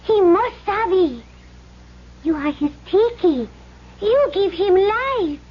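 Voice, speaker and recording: Radio play role: proud, devoted young island bride, slow halting pidgin, dull vintage broadcast sound. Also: female voice, extremely high pitch, lively intonation, heavily acted. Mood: earnest. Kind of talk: 1970s radio drama acting.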